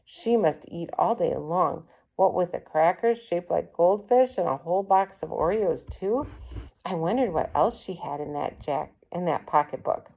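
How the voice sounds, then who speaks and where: A woman reading a children's story aloud as an expressive storyteller. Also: on the low side, measured pace, creaky. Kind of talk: expressive children's book narration.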